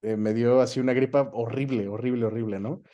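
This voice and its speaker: Man, Mexican accent, dark relaxed timbre, mid-range pitch, casual interview guest, expressive anecdote-telling. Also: brisk, dull audio. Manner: animated anecdote-telling, acts out quoted dialogue. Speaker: guest, voice actor and dubbing director.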